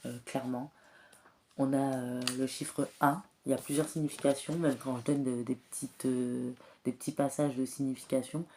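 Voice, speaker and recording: A woman with a cold and a slightly hoarse voice, giving a spiritual card reading in a soft, hesitant way with many filler pauses. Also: a bass voice, slight background noise. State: has a cold, clears throat often. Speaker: tarot and oracle card reader.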